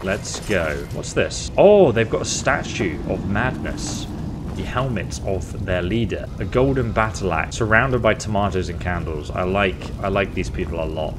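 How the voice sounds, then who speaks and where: Low-voiced man doing playful game commentary. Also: animated, moderate pace, music in the background. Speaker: gaming video host. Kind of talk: casual game playthrough commentary. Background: game soundtrack.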